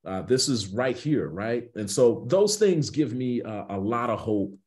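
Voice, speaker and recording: A man's voice: medium pitch, animated, measured pace, darkish tone. An American man in his thirties, a pastor speaking off the cuff on a video call with an earnest preacher's cadence, repeating phrases for emphasis. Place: small indoor room on a video call.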